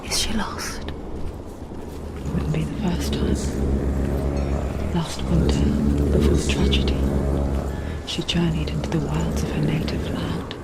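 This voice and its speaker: An actress voicing a hushed narrator spirit in a game, telling a tale in a breathy, intimate, measured whisper. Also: female voice, sits low, big pitch swings, heavy background noise, exaggerated voice. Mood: confiding.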